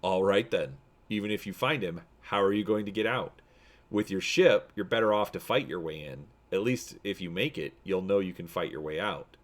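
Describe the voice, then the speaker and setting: A man's voice: low-pitched, moderate pace, lightly acted. A man voicing a skeptical, folksy male prospector who challenges his companions in a space adventure story. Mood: skeptical, challenging. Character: gruff, skeptical prospector and ship pilot, male.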